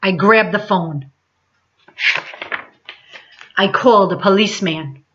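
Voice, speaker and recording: Woman, bright voice, expressive picture-book read-aloud, dramatic emphasis, lo-fi sound. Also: mid-range voice, slow, slight background noise. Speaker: teacher reading a children's book aloud. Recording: dull lo-fi sound.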